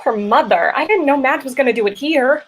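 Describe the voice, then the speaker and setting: A woman's voice: high-pitched, a little fast, natural voice. A woman playing a guilt-ridden, dramatic mistress in a comedic play reading, earnest and emphatic as she confesses. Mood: anxious. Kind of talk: live play reading, comedic stage acting.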